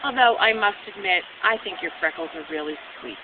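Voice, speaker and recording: A woman's voice: lively intonation, brisk, natural voice. A woman voicing a cheerful, oblivious royal mother in a children's storybook, sweetly fussing over her daughters. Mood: fond, fussing. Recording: phone-like narrow band, loud hiss and rumble.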